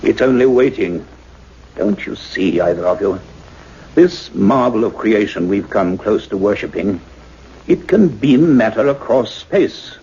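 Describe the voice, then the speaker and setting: A man's voice: on the low side, expressive, unhurried, warm tone. An actor playing a commanding, urgent male scientist in a sci-fi radio play, speaking in an imperious, declamatory way. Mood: grave, awed. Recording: muffled, noisy old radio drama recording.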